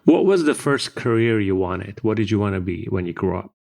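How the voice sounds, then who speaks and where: A man hosting a podcast interview, asking his questions briskly and in a friendly way. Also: on the low side, animated, gravelly, a little mellow. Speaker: podcast co-host.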